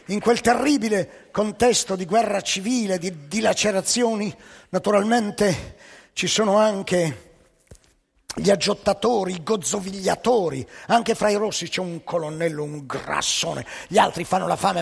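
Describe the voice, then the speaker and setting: A man's voice: sits high, creaky, husky. A man telling comic stories in a dramatic theatrical style, recorded live on stage with a long hall echo. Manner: dramatic, varying loud and soft. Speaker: storyteller. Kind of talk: theatrical storytelling.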